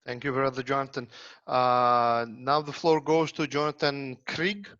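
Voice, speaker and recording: A man chairing an online lecture, polite and hesitant, filling pauses with many ums and uhs. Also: medium pitch, steady tone, a bit bright, no echo. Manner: hesitant, full of uh fillers, polite. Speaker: moderator. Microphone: video call. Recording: dry, dull lo-fi call audio.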